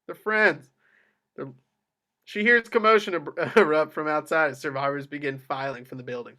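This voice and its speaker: A man narrates a film script with theatrical emphasis. His voice is bright and slightly high, and he delivers the action lines like a dramatic storyteller. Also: normal speed. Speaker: table read narrator.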